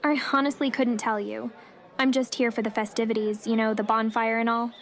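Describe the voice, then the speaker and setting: A quiet young keeper from a fantasy audio drama, played by a woman. Her voice sits a little high and she speaks politely, with reserve. A light crowd murmurs in the background. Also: little pitch movement, a little fast. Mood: politely guarded. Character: young, quiet, modest elven village keeper, female. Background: festival crowd ambience.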